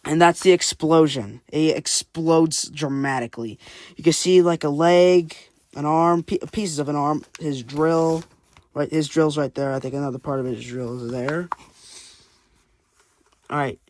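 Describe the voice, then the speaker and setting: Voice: mid-range voice, a little slow, a little mellow. A person reading their own comic aloud with casual, rambling commentary and unsure asides. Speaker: comic creator and narrator.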